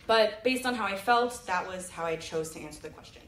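A woman's voice: mid-range voice, fairly quick, a bit bright. Chatty woman on an echoey stage. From Sacramento, California. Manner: chatty, jokey, self-deprecating storytelling. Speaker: presenter. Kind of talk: live stage talk. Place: large auditorium with audience. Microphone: handheld mic filmed from the audience. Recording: echoey hall, audience phone recording.

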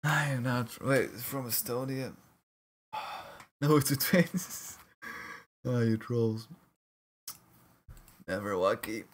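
Streamer: man from Latvia, husky voice, playful and jokey with his chat. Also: mid-range voice, takes it slowly, pauses often. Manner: jokey, laughs often, mock-protesting at chat teasing. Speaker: livestream caster. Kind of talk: casual live-stream chat with viewers.

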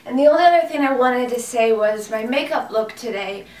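A woman in her thirties, hoarse from a cold, chatting casually and gushing about clothes on camera in a small room, with lots of fillers. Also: on the high side, fairly quick, darkish tone. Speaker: fashion vlogger. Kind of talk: casual clothing haul chat. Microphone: camera mic from a few feet away. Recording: echoey room, mic at a distance.

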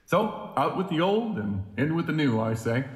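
A man playing a charismatic organization president with veiled menace, giving a deliberate, grandiose podium speech in an audio drama. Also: a little high, very animated, a little hiss or hum. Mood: smooth, grand. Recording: echoey hall with long reverb.

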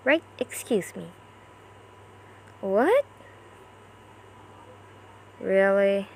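A woman's voice: medium pitch, lively intonation, extremely slow, vocal fry, a little mellow, a little hiss or hum. A woman doing an amateur comic dub, acting out short character lines theatrically in switching voices.

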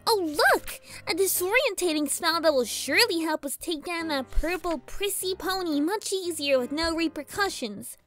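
A tiny villainous pony girl for a storybook-style reading. The voice is extremely high and piercingly bright, with a whiny, exasperated edge. Faint music plays behind it. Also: lively intonation, moderate pace, hardly any pauses. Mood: eager, sarcastic. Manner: peevish, whiny, dramatic. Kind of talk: cartoon-style character voice in fan fiction reading.

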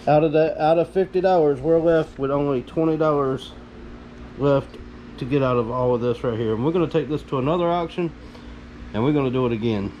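Folksy guy narrating his vlog, soft mellow tone, bit of hum behind. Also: on the high side, fairly quick, highs cut off. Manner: folksy, addresses viewers directly. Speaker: video host.

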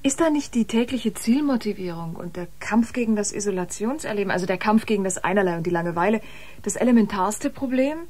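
A woman's voice: medium pitch, lively intonation, fast, a little mellow, lightly acted. A woman playing a curious artist new aboard a spaceship, inquisitive and thoughtful, in a German audio drama.

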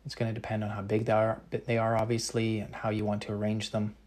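A Canadian man in his thirties, a painter answering live-chat questions in a relaxed, casual way. He thinks aloud with many ums and restarts and articulates some words very precisely. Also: fairly low pitch, fast.

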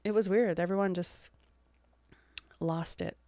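American woman, thirties, soft and laughing, smooth clear voice, dry close sound. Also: fairly low pitch, fairly even intonation, a little slow, no room sound. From England. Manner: soft-spoken, laughs often, trailing off. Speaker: guest author. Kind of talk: casual interview chat.

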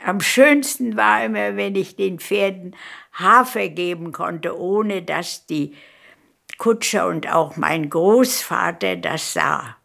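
A woman of about 100 from Königsberg in former East Prussia, reminiscing in an unhurried, halting way in a documentary interview. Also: fairly low pitch, vocal fry, fairly bright tone. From Königsberg, East Prussia, Germany. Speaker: documentary interviewee, eyewitness recalling her life. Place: furnished living room, indoors.

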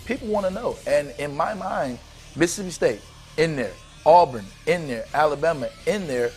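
A man in his thirties argues his points with animated energy, rattling off teams in quick lists. His voice is somewhat high, and faint music plays behind him in the studio. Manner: animated, argumentative, rapid lists. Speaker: studio analyst, former player. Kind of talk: studio sports talk. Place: studio set with couches. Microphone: lapel.

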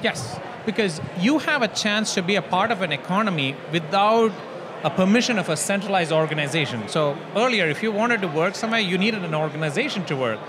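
Brief: man in his 40s, interview guest, argumentative, high voice, noisy hall behind. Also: animated, a little fast. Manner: argumentative, uses rhetorical questions. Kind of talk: conversational interview. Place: large hotel hall at a conference. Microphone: handheld mic. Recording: noisy background.

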